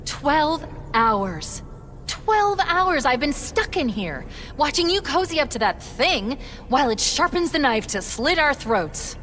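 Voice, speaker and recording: A woman playing a sharp-tongued, defiant female artificial intelligence in a video game, sarcastic, biting and emphatic. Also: high-pitched, big pitch swings, slow pace, long reverb tail, a little hiss or hum, full character voice. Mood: bitter, sarcastic. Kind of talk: video game cutscene acting.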